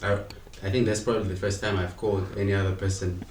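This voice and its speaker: A young man, a podcast guest talking in a relaxed way with short agreeing replies. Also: low-pitched, fast talker, a crowd in the background. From Zimbabwe. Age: young adult. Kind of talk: casual podcast discussion. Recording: echoey room with faint noise.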